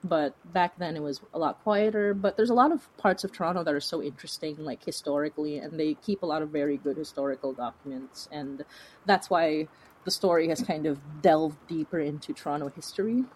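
A woman talking casually as an interview guest, in an informal, animated, rambling way with a giggly streak. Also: sits low, fairly quick, rough recording. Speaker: podcast creator and writer being interviewed.

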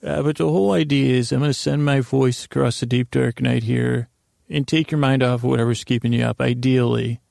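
Creaky man rambling softly through a bedtime monologue. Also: medium pitch, fairly even intonation, dry recording, studio quality. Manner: meandering, halting, stammering, self-interrupting; gently humorous. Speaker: podcast host.

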